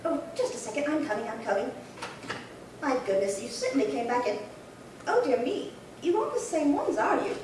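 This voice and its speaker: Woman doing a chatty dithery old lady for a radio play, high pitched, super echoey, kinda fussy. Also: lively intonation, fairly quick, long pauses. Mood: flustered. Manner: rambling, fussy, politely fluttery. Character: chatty, dithering elderly single woman reporting a theft. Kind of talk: old-time radio drama acting. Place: live theatre performance space. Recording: very echoey hall, distant, faint noise.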